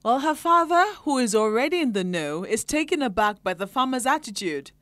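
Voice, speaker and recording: A woman's voice: a little high, sing-song, fairly quick, fairly bright tone, dry recording. A woman with a Nigerian accent reading scripted narration as an arts programme reporter.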